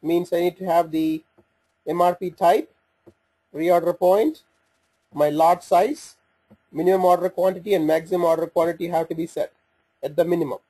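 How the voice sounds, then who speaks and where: A man with a soft, mellow tone and a somewhat raspy edge walks through software as a demonstrator. His pitch sits a little above average. He explains each step informally and haltingly, thinking aloud as he operates the screen. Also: fairly even intonation, rough recording. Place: voice-over for a screen recording.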